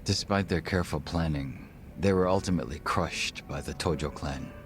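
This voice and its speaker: A suave gangster second-in-command with a deep voice. He is guarded and polite, with formal, measured phrasing and a dry wit, in a video game drama with music behind him. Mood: grave, reflective. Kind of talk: English video game voice acting.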